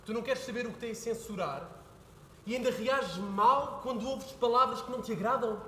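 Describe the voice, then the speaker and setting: A young man playing an earnest son who pleads with his father, building to heated shouting. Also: very high voice, fairly quick, a little hiss or hum. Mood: pleading. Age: young adult. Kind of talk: stage play performance. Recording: echoey hall with faint ambience.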